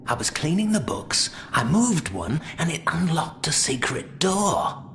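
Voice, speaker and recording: An actor playing a gossiping household servant in a video game, secretive and conspiratorial. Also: male voice, on the high side, very animated, measured pace, fairly bright tone. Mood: excited secrecy. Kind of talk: video game character acting.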